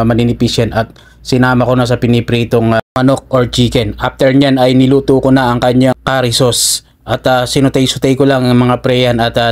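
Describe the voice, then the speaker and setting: A man with a Filipino accent giving casual, step-by-step voice-over narration as a cook, often pausing on "ah". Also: medium pitch, darkish tone. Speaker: cook and vlogger.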